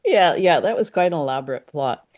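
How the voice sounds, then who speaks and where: A podcast co-host chatting casually about an old radio drama, lively and quick to laugh, leading with questions. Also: mid-range voice.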